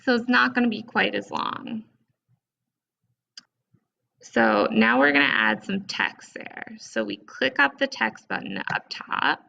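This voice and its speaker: A woman presenting a casual step-by-step software tutorial in an informal, conversational way, recorded with dull, lo-fi sound. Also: fairly high pitch, sing-song, takes it slowly, creaky.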